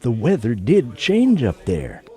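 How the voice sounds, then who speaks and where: A man's voice: medium pitch, huge pitch swings, slow, dark tone. An actor playing a reluctant, doubtful everyman hero in a radio play, hesitant and plain-spoken.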